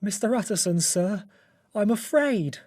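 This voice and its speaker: A man playing a frightened, deferential butler in a dramatic audiobook, speaking in a dogged, halting manner. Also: high-pitched, animated, darkish tone, no echo, clean studio sound. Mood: anxious.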